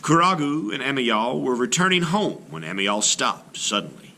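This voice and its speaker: A man reading a children's story aloud in an expressive, theatrical style, like a teacher to a class. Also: mid-range voice, moderate pace, a little hiss or hum. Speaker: art teacher reading a picture book aloud.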